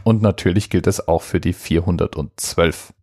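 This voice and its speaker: Low-voiced man hosting a dry-sounding podcast. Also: normal speed, darkish tone, no room sound. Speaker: podcast host. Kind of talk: casual explanatory podcast monologue.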